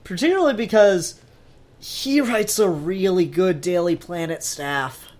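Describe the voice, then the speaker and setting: A man co-hosting a casual discussion, animated and sweary, prone to acting out bits of dialogue. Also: high voice, a little slow. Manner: animated, profane, acts out quoted dialogue. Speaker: podcast co-host discussing comics. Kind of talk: casual chat.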